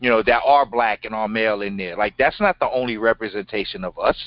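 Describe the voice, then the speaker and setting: A middle-aged man with a deep voice co-hosting a casual show, talking in an emphatic, slangy way and repeating his phrases. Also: fast talker, no echo, muffled recording. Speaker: show co-host. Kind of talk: casual, unscripted conversation.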